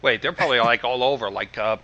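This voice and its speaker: A man's voice: medium pitch, fairly quick, bright, forceful tone, highs cut off. A man giving casual reactive commentary on a livestream, exclaiming and incredulous.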